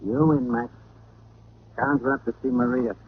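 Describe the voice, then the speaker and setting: A man's voice: medium pitch, steady tone, normal speed, breathy edge, lightly acted. An actor playing a tough, evasive American man, slangy and terse, in 1940s radio crime drama style. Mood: guarded. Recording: tinny, hissy old radio recording.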